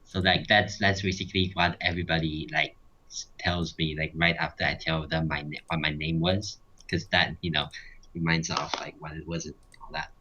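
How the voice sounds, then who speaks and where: A man telling a casual anecdote in a halting, repetitive, rambling way. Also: extremely low pitch, flat delivery, bright, forceful tone, breathy edge, highs cut off. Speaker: guest storyteller. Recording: noisy home mic, clicking and typing. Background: clicking, keyboard typing, background noise.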